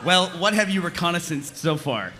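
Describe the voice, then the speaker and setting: A man's voice: on the high side, sing-song, normal speed, background noise. A man playing a sarcastic, shirking soldier in a comedic table read, with a dry, hesitant, conversational delivery. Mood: dry, teasing.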